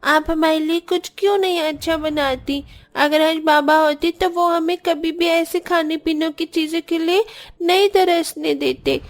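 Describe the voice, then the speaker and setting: Poor small cartoon kid: extremely high, childlike, plaintive, wistful. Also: female voice, steady tone, unhurried, soft background music. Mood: sad, pleading. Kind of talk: cartoon story dialogue.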